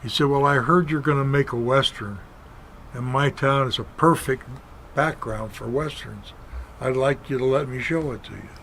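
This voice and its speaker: An older man raised in Kentucky, a former stuntman and filmmaker, telling stories as a talk show guest in a plain, unhurried way. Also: medium pitch, a bit bright, a little hiss or hum, low-quality recording. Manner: unhurried, plain storyteller, acts out quoted dialogue. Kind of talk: storytelling in an interview.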